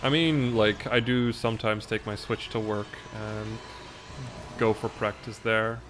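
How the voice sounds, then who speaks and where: A man's voice: on the low side, fairly even intonation, unhurried, background noise. A man from the Netherlands whose first language is Dutch, chatting casually in commentary while playing as a speedrunner, with a pronunciation mixing British and American. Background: game music.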